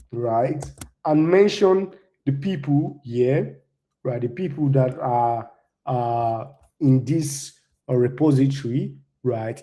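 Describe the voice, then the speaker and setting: A young man from Nigeria, English not his first language, walks an audience through a live demo in a loose, chatty way. His voice is mellow and warm, sitting at a middling pitch. He keeps checking in with a quick "right?" after nearly every point. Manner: loose and conversational, tags "right?" often. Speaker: conference speaker.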